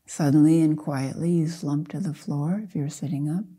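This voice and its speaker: An older woman leading a guided meditation as a Zen teacher, speaking softly and gently in short, measured phrases with long pauses. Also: sits low, steady tone, breathy edge.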